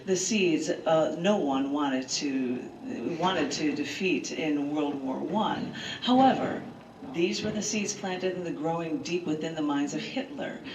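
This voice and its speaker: A woman's voice: deep voice, unhurried. A woman poet hosting an open mic, reading aloud live with dramatic, rhetorical delivery and asides to listeners. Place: large bookstore, indoors, live event. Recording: roomy live room, distant mic, clear noise.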